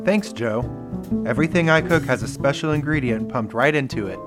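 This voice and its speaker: A man's voice: medium pitch, lively intonation, brisk, music in the background, lightly acted. A man acting a hospitable lodge cook in a 1930s-style radio mystery. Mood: pleased. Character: hospitable male lodge cook named Harold.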